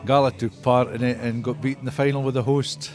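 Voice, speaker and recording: An older man from the Scottish Borders, with the accent to match, talks at an average male pitch. He answers as an interviewed club historian, recounting the past conversationally. Faint music sits behind him. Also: level tone, a little fast.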